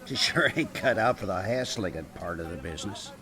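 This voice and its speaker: An actor playing a wry, big-hearted restaurateur and ex-bartender in a comedic radio drama, teasing and theatrical, full of endearments. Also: male voice, low-pitched, expressive, fairly quick, pushed and bright, heavily acted. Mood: breezy, teasing.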